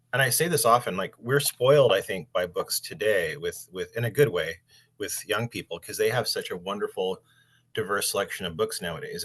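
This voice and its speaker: A man's voice: fairly low pitch, fairly bright tone. A man in his sixties, a librarian hosting a podcast, chatting in a rambling, enthusiastic way. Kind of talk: casual interview chat.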